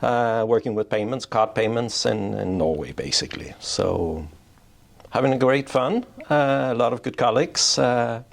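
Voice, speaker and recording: A man's voice: mid-range voice, animated, moderate pace, creaky. A middle-aged man from Denmark, a payments executive, explaining his field in an interview at his office, often restarting his phrases. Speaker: payments strategy executive, guest. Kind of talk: interview answers. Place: office in Oslo.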